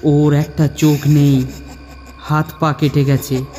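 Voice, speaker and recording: Male actor for a young, curious, scared horror-cartoon narrator; mellow, dramatic, heavy reverb. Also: on the high side, little pitch movement, takes it slowly. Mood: uneasy. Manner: suspenseful, dramatic delivery. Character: young man, frightened, curious narrator of a ghost story. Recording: long reverb, music underneath.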